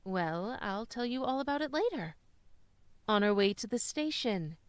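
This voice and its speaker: Actress doing a wry loving mom in an old radio play, highish voice, dry recording. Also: female voice, lively intonation, moderate pace, clear, resonant tone, no room sound, lightly acted. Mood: wry, tender. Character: tender, wry single mother of a young boy. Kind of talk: old-time radio drama acting.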